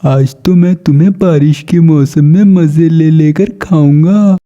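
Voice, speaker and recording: A man's voice: a little high, a little slow, warm tone, dull audio, lightly acted. A man playing a wicked, gloating crow who menaces little birds in a children's cartoon. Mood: gloating, menacing. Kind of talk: Hindi cartoon voice acting.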